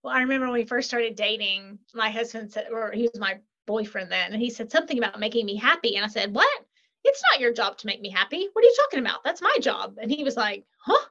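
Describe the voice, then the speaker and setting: A woman's voice: fairly high pitch, fast talker. Upbeat woman guest on a lo-fi call. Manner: animated, upbeat, says "right?" often. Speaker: podcast guest, author. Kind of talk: casual interview chat. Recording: lo-fi muffled sound.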